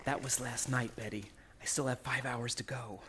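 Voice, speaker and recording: A man's voice: mid-range voice, steady tone, moderate pace, background noise, lightly acted. An actor playing a tense, hunted younger man in a radio suspense play, earnest and dramatic. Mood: wary, subdued.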